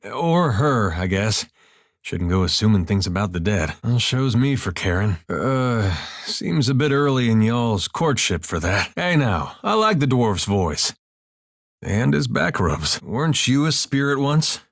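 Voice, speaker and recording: A man voicing an easygoing farmer turned adventurer in a video game, with a folksy, drawling, deadpan humour. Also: medium pitch, a little slow, no room sound, clean studio sound. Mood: dry, friendly. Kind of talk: video game companion dialogue and barks.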